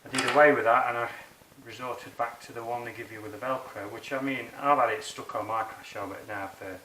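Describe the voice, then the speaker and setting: A roomy, noisy recording of a man talking some way from the mic. He explains a practical job in a chatty, colloquial way, like an informal how-to video. His pitch is average for a man. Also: fairly even intonation, brisk, bright, forceful tone. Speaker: motorbike rider presenting a how-to video. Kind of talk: casual hands-on tutorial. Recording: roomy, distant mic, clear background noise.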